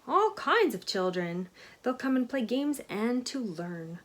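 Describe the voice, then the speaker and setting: A woman's voice: a little high, measured pace, voice pushed up. Kindly, reassuring janitor voiced by a woman. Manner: calm, reassuring.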